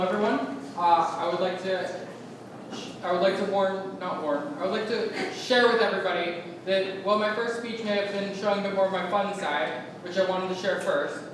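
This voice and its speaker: A young man giving an ad-libbed campaign speech as a speaker candidate, rambling and correcting himself with a wry edge. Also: sits high. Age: young adult. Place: large echoey meeting room. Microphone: distant room mic. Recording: echoey hall from far off, noisy.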